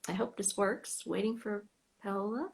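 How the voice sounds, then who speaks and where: An American woman hosting a fan club live stream over a video call, chatting casually, sometimes trailing off into soft whispers. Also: medium pitch, fairly even intonation, measured pace, gravelly.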